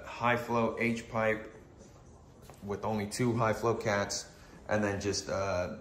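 Hoarse man with a stuffy nose. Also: on the low side, a bit bright, echoey room, a little hiss or hum. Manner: rambling, with many ums and uhs. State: losing his voice, stuffy nose. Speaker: car builder. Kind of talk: casual walkaround narration. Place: garage beside the car.

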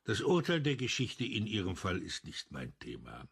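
An actor playing an embittered, unyielding police officer in a crime radio drama, speaking in curt, stern declarations. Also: male voice, low-pitched, wildly swooping pitch, moderate pace, vocal fry, breathy edge, exaggerated voice. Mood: cold, resolute.

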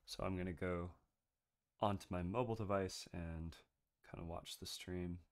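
A man's voice: low-pitched, fairly even intonation. A man streaming a game, chatting casually and hesitantly with many ums while he has a cold. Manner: halting, full of ums, nervous laughs. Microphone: desk microphone.

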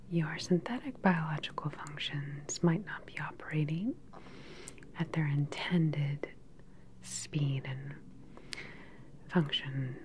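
A woman in her thirties playing a calm, sinister scientist in an ASMR sci-fi roleplay, whispering softly, close to the mic, with a clinical, condescending manner. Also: low voice, slight background noise. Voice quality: soft, breathy whisper. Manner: unhurried, clinical, quietly condescending. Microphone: close microphone, seated facing the camera.

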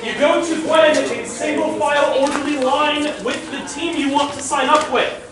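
A man loudly giving an informal, instructional rules briefing to a group as organizer of a roleplay event. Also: very high voice, little pitch movement, moderate pace. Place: open-sided concrete park pavilion. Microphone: far camera. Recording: echoey park shelter, distant mic, crowd around.

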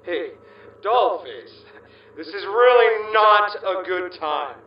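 A man plays a goofy, easily tempted American ghost spy in an audio drama. His high voice bounces around in pitch as he hesitates in slang. He sounds a little distant and roomy. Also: lively intonation, slow, highs cut off. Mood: flustered.

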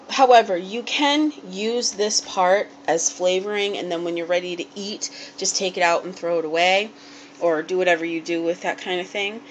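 A young woman walks through her dinner at home in an easy, rambling way, full of ums and small restarts. She holds the phone close in a small kitchen. A steady hiss of background noise runs under the home video. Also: medium pitch, rough recording. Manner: chatty and unpolished, many ums and restarts. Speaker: home cook vlogger. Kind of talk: casual cooking demonstration. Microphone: handheld camera or phone held close.

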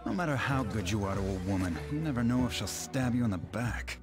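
A man's voice: fairly low pitch, expressive, fairly quick, music in the background, close to an everyday voice. An actor with an American accent playing a cynical, carefree young womanizer, blunt and mocking, in a game cutscene. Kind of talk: video game cutscene acting.

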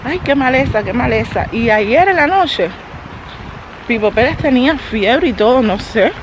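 A woman's voice: a little high, lively intonation, a little slow. A woman vlogging as she walks outdoors, holding the camera herself and chatting straight to her viewers. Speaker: family vlogger. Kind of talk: casual walking vlog. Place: outdoors by a road, walking. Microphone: handheld camera. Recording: noisy outdoor street audio. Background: traffic and street noise.

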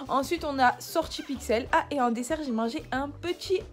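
A woman's voice: high-pitched, moderate pace. A woman in her twenties talking casually to the camera in a hotel at a ski resort, chatting to viewers as friends. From France. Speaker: vlogger. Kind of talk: casual vlog narration to camera. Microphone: handheld camera at arm's length. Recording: music playing underneath.

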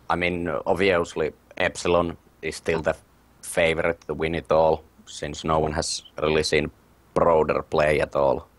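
A man's voice: extremely low pitch, dry recording. A man from Finland with a Finnish accent, chatting casually as a guest, joking and searching a little for words. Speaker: guest, gamer. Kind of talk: casual chat.

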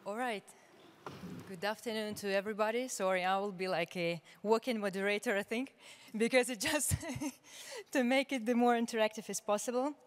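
A woman's voice: mid-range voice, moderate pace. A young woman moderating a conference forum, speaking formally with frequent hesitations into a handheld microphone. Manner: formal, hesitant with frequent fillers, reading from notes. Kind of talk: conference opening remarks. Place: large conference hall. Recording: hall reverb, resonant voice.

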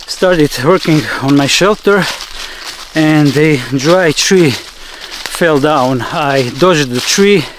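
A man's voice: fairly high pitch, slow pace. A man in his thirties talking casually and matter-of-factly to camera as an outdoor vlog host, recorded in a forest. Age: 30s. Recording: outdoor camera audio with clear background noise.

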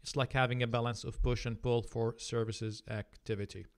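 Clear, smooth-voiced male livestream host. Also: medium pitch, little pitch movement, moderate pace. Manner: appreciative, polite. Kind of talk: hosting, reading out audience comments. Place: home office. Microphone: close podcast microphone.

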